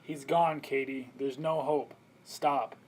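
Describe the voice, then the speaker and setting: A man's voice: mid-range voice, steady tone, slow, darkish tone, rough recording. A man playing a stern, protective husband in an amateur student radio play. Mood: subdued, resigned. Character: John, stern, protective husband on a wilderness trip.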